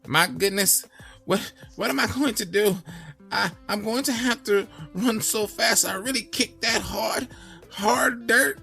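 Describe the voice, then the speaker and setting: A man voicing a boastful male donkey in a storybook tale, shouting his brags in a braying voice over soft music. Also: sits high, a little slow, creaky, voice pushed up, exaggerated voice. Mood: panicked. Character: boastful male donkey racer, proud then frantic.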